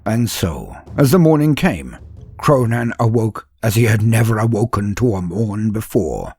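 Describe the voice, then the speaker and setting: A man narrating a comedic mock-epic fan story in a grandiose, lively storytelling manner with dry asides. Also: fairly low pitch, unhurried, slightly rough, dry recording.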